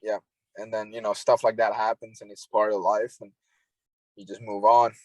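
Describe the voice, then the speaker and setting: A man's voice: fairly low pitch, level tone, normal speed, darkish tone, no room sound, rough recording. A young Finnish man talking easily as a podcast guest, his English carrying a Finnish accent. He sounds laid-back and agreeable and leans on filler words. The recording is dry and close. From Finland. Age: young adult. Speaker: podcast guest, hockey prospect. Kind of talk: casual interview chat. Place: home in Finland.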